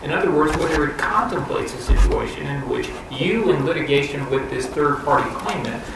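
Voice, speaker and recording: A man's voice: on the high side, a little fast. A male appellate judge posing precise questions and hypotheticals to counsel. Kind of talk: questioning from the bench. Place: courtroom. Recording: distant, echoey, noisy courtroom audio.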